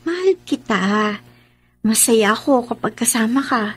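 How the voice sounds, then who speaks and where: A woman's voice: fairly high pitch, lively intonation, a little slow, husky, faint music behind. A woman with a Filipino accent playing an emotive, wheedling young working-class daughter and sister in a radio drama. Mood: tender, pleading.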